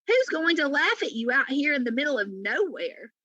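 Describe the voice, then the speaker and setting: A woman's voice: sits high, very animated, moderate pace, sharp tone, no room sound, lo-fi sound, pitched above the performer's own voice, heavily acted. A woman voicing a plucky, kind young female pig in a storybook, with an earnest, eager manner. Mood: eager, coaxing.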